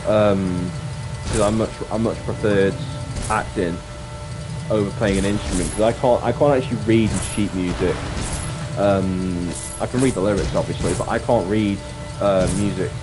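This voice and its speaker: A man with a deep voice chatting casually on a livestream, rambling reflectively and often restating his phrases. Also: fairly even intonation, normal speed. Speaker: streamer and actor. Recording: music playing behind the voice. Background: clear background music.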